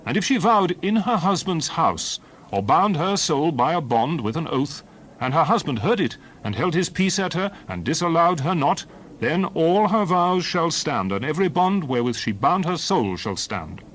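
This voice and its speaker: A stern biblical leader laying down law. His voice is fairly high and very animated, with emphatic, authoritative delivery and faint background noise. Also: normal speed. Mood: solemn instruction. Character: Moses, stern authoritative male leader and lawgiver. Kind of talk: dramatized scripture reading.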